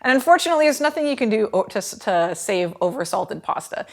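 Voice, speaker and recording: Woman in her thirties, cooking show host, chatty, animated, home kitchen, clip-on mic. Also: on the high side, fast, creaky. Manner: chatty and animated, lots of "like" and gestures. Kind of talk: casual cooking lesson to camera.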